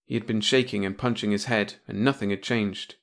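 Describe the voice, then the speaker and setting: A man's voice: fairly low pitch, steady tone, brisk. A man reading a scripted short story as an audiobook narrator.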